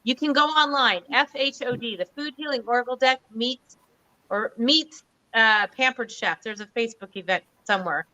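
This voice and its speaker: Woman, host, bright forceful tone, bubbly chatter, lo-fi video call sound. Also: on the high side, normal speed. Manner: bubbly, chatty, gushing introductions. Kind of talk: casual online show chat.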